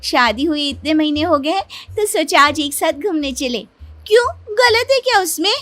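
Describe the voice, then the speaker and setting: High-pitched, pleading young wife played by a woman. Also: lively intonation, normal speed, fairly bright tone, no echo. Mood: affectionate. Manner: coaxing, plaintive. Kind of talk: Hindi cartoon story voice acting. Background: music.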